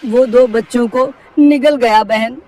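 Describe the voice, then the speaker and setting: A woman's voice: a little high, a little slow, mellow, recorded from a distance. A woman voicing a kindly, worried male parrot who tells a story and warns urgently and emotionally. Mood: urgent, grieving.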